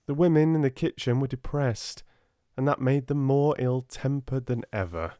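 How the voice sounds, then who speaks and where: Audiobook narrator: British man, soft-spoken and unhurried, warm dark tone, gentle storybook delivery, close and dry. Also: medium pitch, no room sound.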